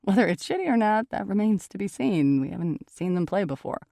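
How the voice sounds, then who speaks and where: A woman's voice: medium pitch, expressive, fairly quick, gravelly, mellow, no room sound, studio quality, pitched above the performer's own voice. A woman voicing a guarded, sarcastic young woman in an audiobook, with a pointed manner. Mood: firm. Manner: pointed, sardonic. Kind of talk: audiobook character dialogue.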